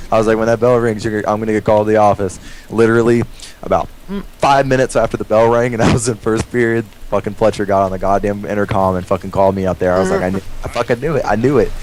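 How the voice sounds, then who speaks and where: A man's voice: on the low side, a little hiss or hum. A young man telling a story casually in a rambling, profane way, acting out dialogue as he goes. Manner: rambling, profane, animated retelling with quoted dialogue. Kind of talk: casual storytelling over gameplay.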